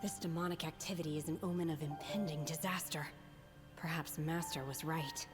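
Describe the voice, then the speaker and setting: Woman as a low, solemn exorcist maiden over music. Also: a little fast, natural voice. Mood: calm, reserved. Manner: calm, terse, formal. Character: quiet, solemn, aloof young female mountain exorcist. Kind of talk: video game character acting.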